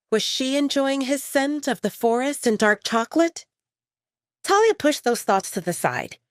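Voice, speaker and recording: A woman's voice: medium pitch, no echo, clean studio sound. A woman reading scripted romance fiction as a dramatic audiobook narrator.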